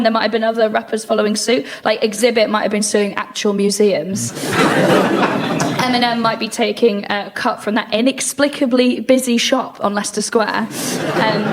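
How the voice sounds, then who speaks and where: Higher-voiced woman comedian riffing. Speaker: comedian panellist. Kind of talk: comic panel riffing. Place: radio comedy recording before a live audience. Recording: live comedy show with loud noise.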